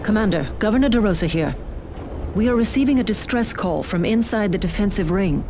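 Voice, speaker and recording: A woman's voice: medium pitch, lively intonation, brisk, natural voice. Game official: dutiful female governor actress, businesslike briefing tone, battle noise and big music underneath. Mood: urgent. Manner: formal, businesslike. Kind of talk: video game briefing acting. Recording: loud music and gunfire behind.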